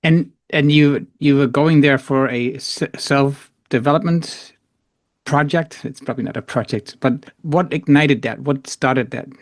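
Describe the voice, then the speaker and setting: A man hosting a podcast, introducing his guest and asking questions in a measured way. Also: mid-range voice, no echo. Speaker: podcast host and coach.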